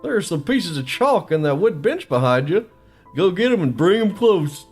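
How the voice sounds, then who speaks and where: A man's voice: sits high, sing-song, normal speed, over music. A man playing a sinister, coaxing TV clown who talks to children in a horror audio drama. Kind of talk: kids' show parody in audio horror.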